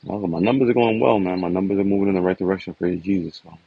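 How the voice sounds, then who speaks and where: A man's voice: low-pitched, fast talker, creaky, breathy edge, dry recording, narrow, tinny audio. A man, talking in a loose, casual way and often tagging his sentences with "man" and "you know.". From New York City. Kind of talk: casual personal vlog talk.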